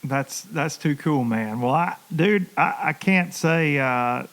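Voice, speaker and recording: A man's voice: a little high, slow pace, a bit bright. A man from Tennessee, hosting a fishing podcast in a folksy, joking way. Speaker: podcast host. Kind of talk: casual podcast chat.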